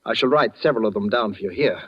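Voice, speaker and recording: An actor playing a sinister, money-hungry gentleman with over-polite, insinuating manners, in 1940s radio suspense style. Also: fairly low pitch, fast, natural voice. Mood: smooth, insinuating. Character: sinister, courteous, money-hungry man with weak eyes, holding a gun. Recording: dull vintage 1940s radio recording.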